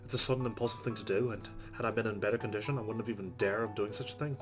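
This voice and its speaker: Man, low voice, game playthrough host, reads story text aloud, casual commentary, clear music behind. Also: fairly even intonation, rapid-fire. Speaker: let's play host.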